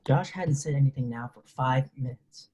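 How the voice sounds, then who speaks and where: A man's voice: medium pitch, moderate pace, dark tone, natural voice. A man reading a teenage boy who speaks wryly to the camera in a script table reading. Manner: conversational, wry, musing. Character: teenage boy, loyal friend, talks to the camera. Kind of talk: table-read script acting, direct-to-camera asides.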